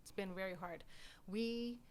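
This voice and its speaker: A woman's voice: medium pitch, a little slow. A middle-aged woman, born in England and raised in Canada, explaining her background and charity work in an earnest, conversational interview. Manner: earnest, explanatory, animated when quoting others. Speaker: guest, photographer and nonprofit founder.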